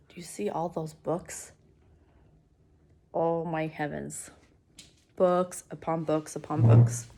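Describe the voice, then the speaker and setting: A woman's voice: fairly low pitch, darkish tone. A woman reading a children's novel aloud expressively, as a teacher does for students, speaking directly to young listeners.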